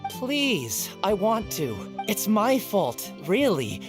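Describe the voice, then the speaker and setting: An actress playing a flustered, apologetic young newspaper seller in a video game, polite, hesitant and eager to please. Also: female voice, medium pitch, sing-song, takes it slowly. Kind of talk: scripted video game dialogue. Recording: music behind the voice. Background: game music.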